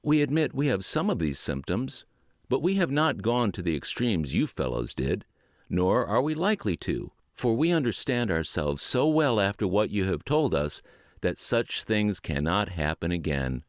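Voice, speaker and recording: A man's voice: lowish voice, big pitch swings, normal speed, no room sound, clean studio sound. A man voicing a doubtful drinker who insists the warning does not apply to him, in a confident, dismissive way. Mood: self-assured.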